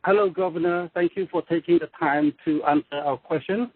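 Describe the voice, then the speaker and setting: Male reporter phoning in, somewhat high voice, polite questioning, dry narrowband line. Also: brisk, slightly rough, no echo. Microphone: telephone. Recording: tinny, dry phone line.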